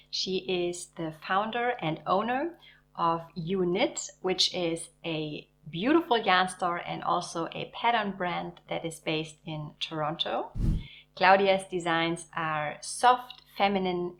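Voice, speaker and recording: A woman hosting a knitting channel, narrating in a warm, enthusiastic, measured way. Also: fairly low pitch. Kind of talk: scripted video narration.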